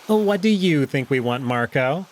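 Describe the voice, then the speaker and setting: A man voicing a stern, scheming jackal noble in a visual novel, brisk, terse and commanding. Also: mid-range voice, lively intonation, a little hiss or hum, natural voice. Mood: cold, threatening.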